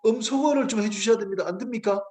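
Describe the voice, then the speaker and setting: A man's voice: high-pitched, fast, dark tone, a little hiss or hum. A male professor hosting and moderating an online discussion, polite and encouraging, with many brief acknowledgements. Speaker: host and professor.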